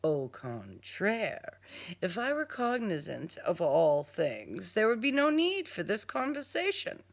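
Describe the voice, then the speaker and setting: A man's voice: high-pitched, wildly swooping pitch, slow pace, exaggerated voice. A woman voicing a coolly polite, menacing male serial killer in an audiobook, with formal, genteel wording. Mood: cool, mocking.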